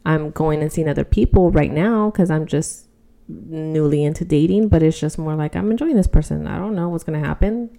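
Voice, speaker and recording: Low, mellow-voiced woman in relaxed chat. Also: a little fast, vocal fry, hardly any pauses. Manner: informal, conversational. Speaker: podcast co-host. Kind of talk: casual personal chat.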